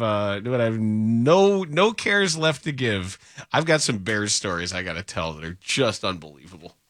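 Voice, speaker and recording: A man's voice: mid-range voice, fairly bright tone, dry recording, studio quality. A man hosting a sports radio show, bantering casually, laughing at his own stories and trailing off mid-thought. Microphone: studio microphone.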